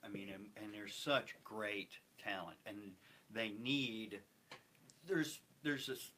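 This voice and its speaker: Bright, forceful man, effusive, with background noise. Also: lowish voice, takes it slowly. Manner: effusive, emphatic. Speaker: theater company member, guest. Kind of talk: casual interview talk.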